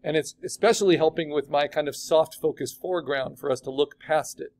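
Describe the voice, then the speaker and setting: Chatty male art teacher, higher voice. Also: fairly quick, darkish tone. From California. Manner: chatty, asks the class questions. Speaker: art instructor. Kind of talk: classroom software demo.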